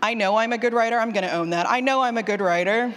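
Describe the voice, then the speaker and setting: A woman presents casually to a room in a reverberant hall. She is candid and animated, poking fun at herself and voicing her own thoughts as she goes. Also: on the high side, quick pace, a crowd in the background, background noise. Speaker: presenter, fiction podcast writer. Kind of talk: casual conference talk with audience questions. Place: indoor session room with long reverb.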